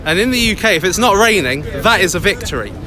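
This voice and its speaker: An English man in a loud, chatty, upbeat manner, vlogging live from a busy city street into a handheld phone. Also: high-pitched, animated, quick pace, a crowd in the background. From England. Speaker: crypto vlogger. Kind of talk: casual live vlog. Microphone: phone held by hand, close. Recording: outdoor street noise. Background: city traffic and passers-by.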